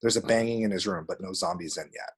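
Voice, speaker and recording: A man's voice: sits low, quick pace, breathy edge. A man reading screenplay action descriptions aloud as dramatic, vivid narration at a table read.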